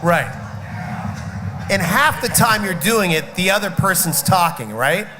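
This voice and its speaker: A man's voice: high-pitched, animated, a little slow, background noise. A man who sings in a rock band, talking casually and emphatically, with a slight cough and cold. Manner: outspoken, emphatic, swears. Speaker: guest rock singer. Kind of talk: casual festival chat. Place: festival backstage area. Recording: loud music behind.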